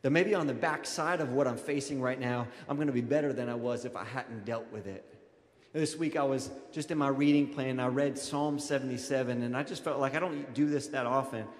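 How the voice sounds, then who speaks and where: A man in his thirties preaching a conversational sermon as a pastor, informal and animated, addressing his congregation directly into a handheld microphone. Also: medium pitch, brisk. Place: large auditorium stage, indoors. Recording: echoey hall with long reverb.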